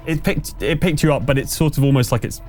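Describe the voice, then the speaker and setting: A man's voice: medium pitch, fast talker, dark tone. Man game-mastering over background music. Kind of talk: tabletop game narration, conversational. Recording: music playing under the voice.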